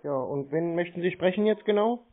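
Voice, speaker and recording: Deadpan man on a tinny phone line. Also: sits high, fairly quick, slight background noise. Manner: deadpan, needling. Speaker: prank call recipient baiting phone scammers. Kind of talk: prank phone conversation, casual.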